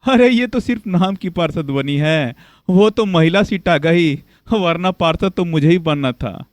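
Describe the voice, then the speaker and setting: A man's voice: a little high, unhurried, no room sound, natural voice. A man playing a bossy, corrupt, self-important local politician husband in a cartoon. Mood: boastful. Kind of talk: Hindi cartoon voice acting.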